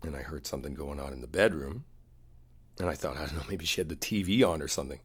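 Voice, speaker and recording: Casting: male actor as earnest, hurt but devoted boyfriend for intimate roleplay audio; very low, husky voice, confiding delivery with pauses. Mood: subdued, hurt. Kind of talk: boyfriend ASMR roleplay, intimate one-sided dialogue.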